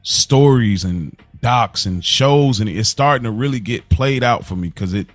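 An American man co-hosting a podcast in casual conversation, telling things in an animated, slangy way. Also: medium pitch, a little slow, no room sound, soft background music. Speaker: podcast co-host.

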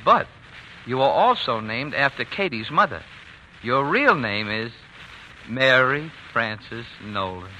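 An actor playing a gentle, weary father with a halting way of talking in a 1940s radio drama. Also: medium pitch, expressive, muffled recording, exaggerated voice. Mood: gentle, fond. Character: gentle, weary, ailing Irish-American father of a girl. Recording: noisy old 1940s radio broadcast.

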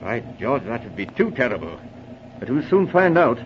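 An actor playing a hearty former army officer turned English chief constable in an old radio drama, clubby and full of old-fashioned exclamations. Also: male voice, medium pitch, big pitch swings, moderate pace, close to an everyday voice. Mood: brisk. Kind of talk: 1940s radio drama acting. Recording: muffled, noisy old radio recording.

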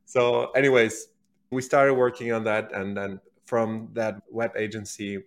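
Low-voiced German-accented man. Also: little pitch movement, unhurried. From Berlin, Germany. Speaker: podcast guest, company executive. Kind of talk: casual interview storytelling.

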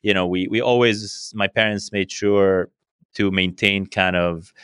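Male interview guest, low voice in a dry recording, native Arabic speaker from Syria, conversational, halting and repetitive as he thinks. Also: steady tone, a bit bright, smooth, dry recording. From Syria, raised partly in Spain. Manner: halting, repeats words as he thinks.

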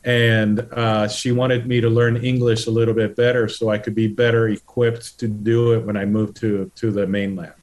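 A man's voice: lowish voice, level tone, normal speed, hardly any pauses, darkish tone. Man telling his story. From Puerto Rico. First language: Spanish. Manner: earnest storytelling with restarts. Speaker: guest, political candidate. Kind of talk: conversational interview.

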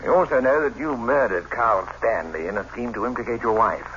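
An actor playing a hard, sceptical interrogator, pressing and sardonic, in 1960s radio drama style. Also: male voice, mid-range voice, fairly quick, fairly bright tone, slight background noise, lightly acted. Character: hard, sceptical interrogator cornering a murder suspect. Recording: muffled old radio recording with music.

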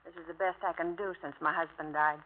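A woman's voice: low voice, steady tone, fast. An actress playing an anxious, upright widowed mother in a 1950s radio drama, nervous and earnest. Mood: uneasy. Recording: thin, tinny old radio broadcast.

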